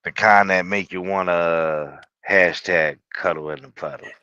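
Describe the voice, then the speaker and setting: A man's voice: deep voice, fairly even intonation, a little slow, pushed and bright, clean tone, no room sound, dull audio. A man bantering on a live stream, teasing the host in a laid-back way and laughing often. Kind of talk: casual live-stream chat.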